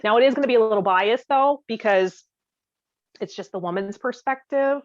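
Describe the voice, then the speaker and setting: A woman's voice: on the high side, moderate pace, no echo. A woman co-hosting a podcast in casual, comedic conversation. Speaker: podcast co-host. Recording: dull, dry audio.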